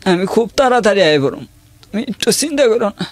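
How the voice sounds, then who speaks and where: A man's voice: sits high, big pitch swings, moderate pace, no echo. A young man, telling his own story in a plain, hesitant way. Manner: plain, hesitant, simple colloquial speech. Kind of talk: personal testimony in an interview.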